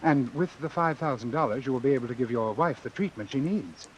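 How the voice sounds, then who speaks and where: An actor playing a cold, calculating doctor in a vintage suspense radio drama, speaking in a formal, precise, measured way. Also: male voice, mid-range voice, animated, muffled recording, natural voice. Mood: calm, persuasive. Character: cold, calculating male doctor obsessed with his experiment. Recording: hissy old radio recording.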